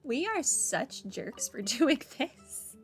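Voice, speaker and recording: A woman's voice: high-pitched, very animated, slow, faint music behind. A woman giving casual playthrough commentary as a gaming host, rambling and dryly sardonic. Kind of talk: casual playthrough commentary, reading dialogue aloud.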